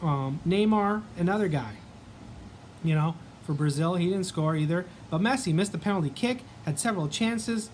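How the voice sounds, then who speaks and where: A man's voice: high-pitched, moderate pace, darkish tone, slight background noise. A man with a Canadian accent hosting a casual sports vlog, chatting in a rambling way with many ums and restarts.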